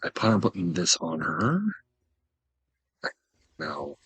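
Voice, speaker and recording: A man giving casual gameplay commentary as a video host, thinking aloud in a halting mutter to himself. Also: sits low, animated, fairly bright tone, breathy edge, no echo, faint music behind.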